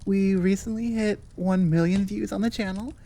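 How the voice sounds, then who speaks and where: Mellow-voiced woman chatting casually. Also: medium pitch, fairly even intonation, moderate pace. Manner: informal, rambling, candid. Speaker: audio roleplay creator and channel host. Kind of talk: casual chat to listeners.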